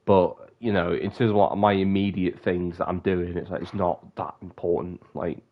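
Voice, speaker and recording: A man's voice: sits low, flat delivery, normal speed, narrow, tinny audio. A young man with a bunged-up, sniffly voice, rambling casually on a live stream and often breaking off mid-sentence. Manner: rambling, self-interrupting.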